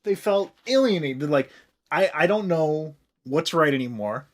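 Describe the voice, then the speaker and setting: A man's voice: on the high side, animated, measured pace. A man hosting a podcast in casual comedic chat, swearing freely with an irreverent, joking manner. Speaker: podcast host.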